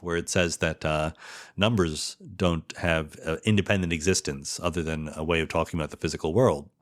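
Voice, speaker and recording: Low-voiced man explaining ideas informally. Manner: explanatory, asks and answers own rhetorical questions. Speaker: podcast host, theoretical physicist. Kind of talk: informal explanatory monologue answering listener questions.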